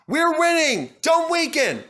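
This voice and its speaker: A man voicing a hearty railway fireman who calls out urgently at a shout. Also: extremely high pitch, huge pitch swings, slow, voice pushed up, exaggerated voice. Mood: cheering.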